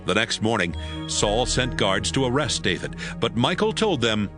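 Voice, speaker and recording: A man's voice: fairly low pitch, sing-song, normal speed, over music. A man narrating a dramatized scripture audiobook.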